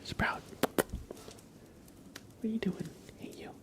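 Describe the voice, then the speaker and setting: A man hosting an ASMR livestream, chatting casually in a breathy whisper. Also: takes it slowly. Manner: casual chat, greeting viewers by name. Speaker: livestream host.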